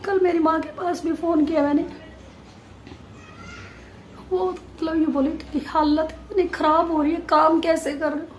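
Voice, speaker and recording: A woman vlogger chatting casually to viewers, her voice hoarse and nearly gone, pushing hard to get the words out. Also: very high voice, level tone, moderate pace, long pauses, slight background noise. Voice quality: strained, forced voice.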